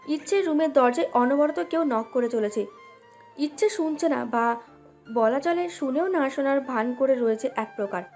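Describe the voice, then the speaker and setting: A woman's voice: high voice, darkish tone. A woman reading a scripted romantic story as its narrator. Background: background music.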